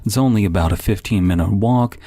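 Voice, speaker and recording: A man's voice: lowish voice, brisk, mellow, no echo. A man voicing a chummy, folksy storyteller in a dramatized podcast reading of an online story. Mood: chatty, confiding.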